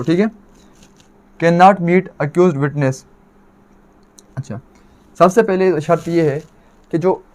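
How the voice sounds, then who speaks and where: Higher-voiced Pakistani man teaching law. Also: a little mellow. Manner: didactic, repeats points and asks himself questions. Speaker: law lecturer. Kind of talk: explanatory exam lecture.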